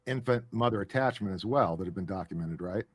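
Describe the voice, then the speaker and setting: A man's voice: low voice, a little fast, slight background noise. A man co-hosting a podcast discussion, asking probing questions with restarts. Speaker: podcast co-host.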